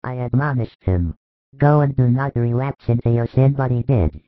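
A man voicing a calm, authoritative male teacher who speaks in a solemn, measured, firm way. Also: mid-range voice, dry recording, close to an everyday voice. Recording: muffled dry recording.

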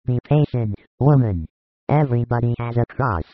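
Guy playing a calm solemn holy teacher in an audio drama, mid pitch, patient, sounds like a phone line. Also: no echo, natural voice. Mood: gentle, calm. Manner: measured, solemn, consoling. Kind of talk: dramatised audiobook dialogue, scripted. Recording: dry, narrow phone-like sound.

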